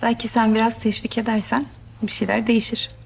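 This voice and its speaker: Affectionate older aunt, higher voice. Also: female voice, little pitch movement, a little fast, slight background noise. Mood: confiding. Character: affectionate, dismissive middle-aged aunt figure. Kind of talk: TV drama acting, naturalistic dialogue. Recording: clear music under TV drama dialogue.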